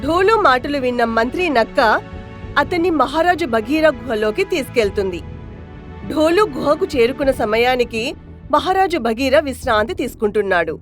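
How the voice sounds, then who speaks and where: Woman, high-pitched, scripted storybook narration, soft background music. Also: expressive, normal speed.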